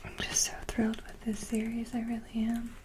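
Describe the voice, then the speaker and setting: A woman doing ASMR, reading and commenting in a soft, breathy whisper. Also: on the high side, monotone, fairly quick, noisy background. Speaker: ASMR video creator.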